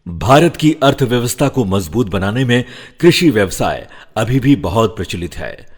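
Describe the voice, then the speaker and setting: Casting: middle-aged male voice artist, deep and raspy, dramatic deliberate narration. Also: sing-song. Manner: theatrical, measured, deliberate delivery. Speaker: voice-over artist and actor. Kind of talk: dramatic scripted voice-over narration.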